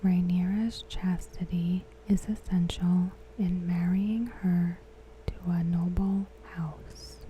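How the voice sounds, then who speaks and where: Slow, dark whispering woman. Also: lowish voice, fairly even intonation, a little hiss or hum, rough recording. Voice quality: soft, breathy whisper. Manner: measured, deliberate, hushed delivery. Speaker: ASMR host. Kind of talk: whispered ASMR scripted recap narration.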